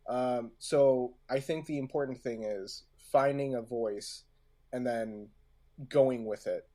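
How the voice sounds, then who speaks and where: A man in a casual podcast discussion, speaking at a slow pace. He is halting, often doubles back on a phrase and searches for the next word. His pitch sits in the middle of the male range. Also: dark tone. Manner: halting, searching for words, often repeating himself. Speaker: podcast co-host. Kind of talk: casual discussion of audio drama craft.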